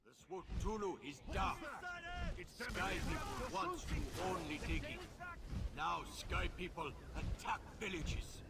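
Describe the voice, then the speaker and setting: An actor playing a grave alien villager who gives terse, broken commands. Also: male voice, high voice, animated, takes it slowly, gravelly, very noisy, exaggerated voice. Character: Na'vi villager guide, grave and urgent.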